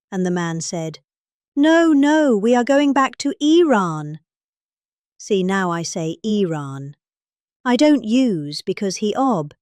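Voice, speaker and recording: A woman's voice: mid-range voice, a little mellow, no room sound, clean studio sound. A middle-aged British woman giving a dramatic, satirical reading of a speech in a mock-serious, theatrically emphatic manner. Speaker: presenter reading out a politician's speech.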